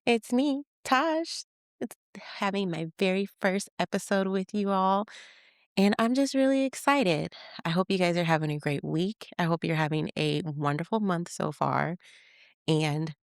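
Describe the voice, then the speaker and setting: A woman from Michigan hosting a podcast, telling childhood stories in a chatty, animated way and laughing as she goes. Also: on the low side, normal speed, gravelly, dry recording, clean studio sound. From Michigan, USA. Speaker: podcast host. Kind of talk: casual personal storytelling.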